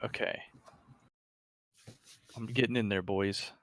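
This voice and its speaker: A man's voice: fairly low pitch, steady tone, slow, a bit bright, dry recording. A man chatting casually as a player in a tabletop game, thinking aloud and repeating his words as he works out a move.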